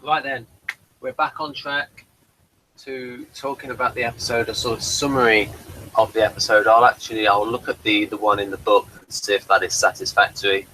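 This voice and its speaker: A man hosting a TV review show in casual, unscripted chat, with a rambling, halting delivery. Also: on the low side, steady tone, pushed and bright, a little hiss or hum, low-quality recording.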